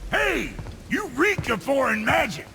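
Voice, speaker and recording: A crude, excitable little craftsman, swoops wildly in a very high, creaky voice. He is blunt and colourful, game-character style, with noise behind. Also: a little slow, full character voice. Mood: startled, amazed. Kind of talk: video game character acting.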